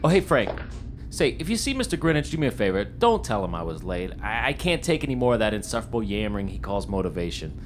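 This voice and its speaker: A man's voice: lowish voice, sing-song, fast, over music. An actor playing an eager, wisecracking young man in a 1950s period audio drama. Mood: cheeky. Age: 20s. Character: eager, wisecracking young screenwriter and bellboy in 1950.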